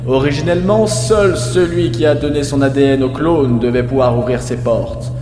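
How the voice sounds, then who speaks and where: A man's voice: medium pitch, normal speed, background noise, close to an everyday voice. A man playing a solemn, vengeful disembodied brain who leads clones, speaking grandly and ceremoniously in a comedic audio drama. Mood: solemn, menacing. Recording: cavernous hall reverb, distant, music behind.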